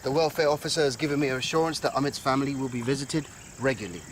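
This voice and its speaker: A man's voice: medium pitch, racing, a little hiss or hum, natural voice. A man acting as an earnest radio journalist, giving observant, explanatory commentary in a documentary-style drama. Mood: observant, concerned.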